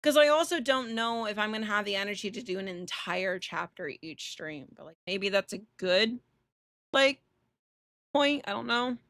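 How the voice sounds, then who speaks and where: A woman hosting a casual game livestream, chatting bluntly and irreverently and reading on-screen text aloud in an animated way. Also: mid-range voice, normal speed, no room sound, studio quality. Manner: foul-mouthed, irreverent, blunt. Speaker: live streamer hosting a visual novel playthrough.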